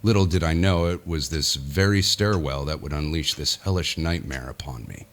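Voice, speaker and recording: Weary self-important businessman, very low voice, confiding, theatrical, horror audio drama narration. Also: normal speed. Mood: brooding, ominous. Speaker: lead actor narrating in character.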